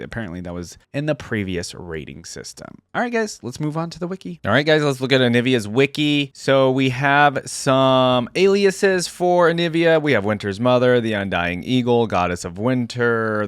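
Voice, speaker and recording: A man's voice: mid-range voice, no room sound, clean studio sound. A man hosting a reaction video, casually commenting and reading lore aloud with informal, chatty asides. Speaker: video host, reaction content creator.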